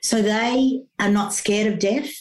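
A middle-aged woman speaks as a grief coach in an interview. She explains her ideas in an earnest, flowing, teacherly way, often restarting her sentences and filling pauses with small sounds. Her voice sits at an ordinary pitch for a woman. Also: steady tone, poor audio. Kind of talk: conversational interview.

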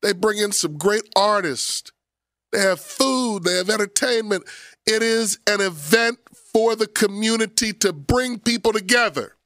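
A man's voice: high voice, unhurried, fairly bright tone, dry recording. A man in his forties, a pastor hosting a radio talk show, sounding nasal and scratchy from a summer cold, playful and preacherly. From Philadelphia area.

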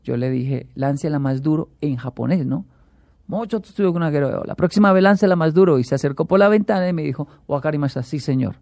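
A man, a talk show guest who tells animated stories and acts out the quotes. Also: a little high, fast talker, creaky, no echo. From Colombia. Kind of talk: casual chat.

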